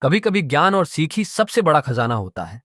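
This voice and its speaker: A man voicing a calm, kindly young yogi in a children's story, instructive and encouraging. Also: on the high side, normal speed, no room sound, clean studio sound. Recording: clearly noisy background.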